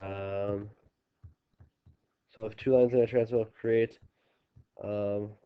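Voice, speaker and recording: A man's voice: on the low side, fairly even intonation, smooth. Congested man teaching geometry. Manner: halting, restarting explanations with many ums. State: congested, stuffy nose. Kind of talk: recorded classroom video lesson. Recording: slightly dull lo-fi recording.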